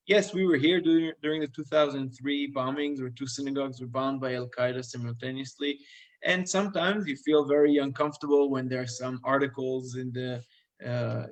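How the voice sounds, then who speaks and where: A middle-aged man talking casually in a live stream as a rabbi. He is earnest and halting, and he often restarts his phrases. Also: mid-range voice.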